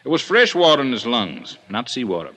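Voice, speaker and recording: A man's voice: medium pitch, big pitch swings, fairly quick, sharp tone, rough recording. An actor playing a blunt, sardonic male police lieutenant in a 1950s radio drama.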